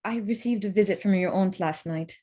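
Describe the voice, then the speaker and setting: A woman playing a witty, spirited young gentlewoman in a staged reading of a Regency romance, arch and composed. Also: medium pitch, a little fast, lightly acted. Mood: tentative, hopeful. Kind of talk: staged reading of a period drama.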